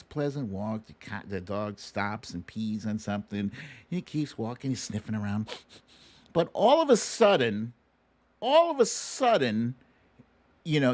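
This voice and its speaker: A man hosting an online live show, chatting casually and playfully, straight to his viewers. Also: fairly high pitch. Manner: playful, informal, addresses viewers directly.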